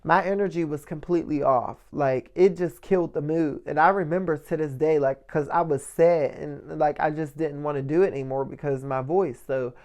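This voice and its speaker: A man with a light, soft voice giving a casual motivational monologue as a vlogger, often checking in with the listener. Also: fairly high pitch, steady tone, moderate pace, hardly any pauses. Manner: conversational, checks in often with "right?" and "you know".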